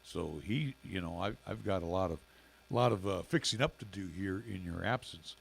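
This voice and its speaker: A middle-aged man co-hosting a local radio show in casual chat, with a raspy, gravelly voice and halting speech full of fillers. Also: low voice, a little hiss or hum. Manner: halting, many fillers and restarts. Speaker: radio station owner and co-host.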